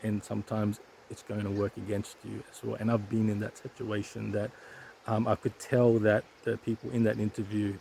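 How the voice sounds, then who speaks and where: A low-voiced man with a gravelly, dark tone talks casually to his camera while out on a hike. He stutters, often repeating sounds and restarting words. The recording has a faint outdoor hiss behind him. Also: level tone, normal speed. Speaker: YouTuber sharing stuttering advice. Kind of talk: casual vlog talk to camera. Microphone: weak handheld setup, shaky.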